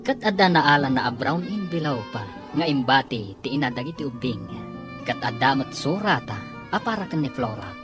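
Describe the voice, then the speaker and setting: A woman's voice: very deep voice, lively intonation, moderate pace. A woman narrating and announcing a radio drama in a dramatic scripted style. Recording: broadcast narration over loud music. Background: prominent music.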